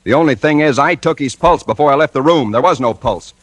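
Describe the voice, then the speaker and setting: An actor playing a brash, wisecracking American newspaper reporter, narrating in a hardboiled, sardonic 1940s radio noir style. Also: male voice, fairly high pitch, a little fast, dry recording, natural voice. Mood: cocky, sarcastic. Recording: vintage 1940s radio drama with music.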